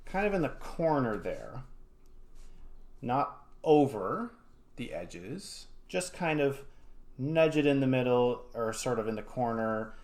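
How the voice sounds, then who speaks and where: A man's voice: mid-range voice, lively intonation, slow, darkish tone. A man giving a casual, step-by-step craft tutorial as an art educator, rambling a little with informal asides. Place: home studio. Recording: clear background noise, small clicks.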